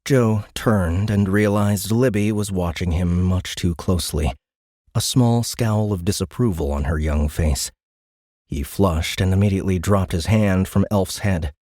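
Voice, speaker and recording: Very low-voiced male audiobook narrator. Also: measured pace, darkish tone, no room sound, clean studio sound. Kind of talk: scripted dramatic narration.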